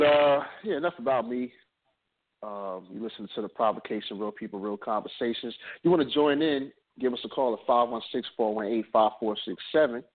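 A laid-back man in his late thirties co-hosts a casual talk show, telling stories with easygoing humor and a mid-range voice. The sound is thin and tinny, like a phone line. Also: mid-range voice. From New Jersey. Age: 30s. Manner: laid-back, joking, drawn-out storytelling. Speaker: podcast co-host. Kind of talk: casual chat.

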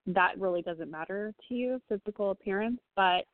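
A woman psychic medium giving a reading on a phone-in talk show, speaking gently and reassuringly with frequent pauses and ums. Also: mid-range voice. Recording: tinny phone line, dry.

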